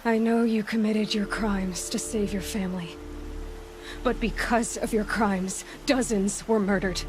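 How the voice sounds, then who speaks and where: A woman acting as a young female hunter, stern and resolute with compassion underneath. She speaks in a measured, serious way at a medium pitch, in a dramatic game scene with music clearly playing behind her. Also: steady tone, close to an everyday voice. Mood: solemn resolve. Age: young adult. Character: determined young huntress, stern but compassionate.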